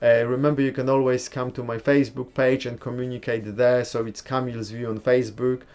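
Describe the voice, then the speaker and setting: A man chats casually with viewers on a live stream, reading their comments aloud and answering them one by one. His voice sits at an ordinary middle pitch, and he talks in a relaxed, easygoing way with frank, blunt opinions. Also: brisk. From Poland. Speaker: livestream host.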